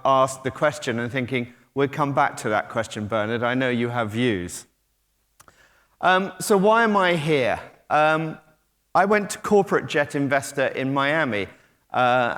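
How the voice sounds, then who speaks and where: British man, middle-aged, medium pitch, conference speaker, chatty with ums, echoing hall. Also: a bit bright. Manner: conversational, hesitant with frequent ums and restarts. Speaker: conference speaker, technology company head. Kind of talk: conference keynote talk. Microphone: stage mic, moving about while talking. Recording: long hall reverb.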